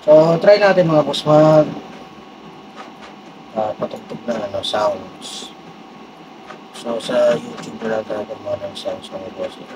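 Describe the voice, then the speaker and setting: A man with a Filipino accent giving a casual, halting unboxing review with a gravelly voice. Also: medium pitch. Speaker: vlogger doing a product unboxing and test. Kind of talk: casual unboxing review, talking while handling items. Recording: clearly noisy, steady background hum.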